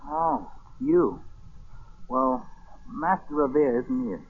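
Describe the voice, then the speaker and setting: A man's voice: mid-range voice, wildly swooping pitch, slow pace, vocal fry, husky, heavily acted. A man playing a suspicious, guarded young shop boy in a 1940s radio drama. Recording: muffled 1940s radio recording.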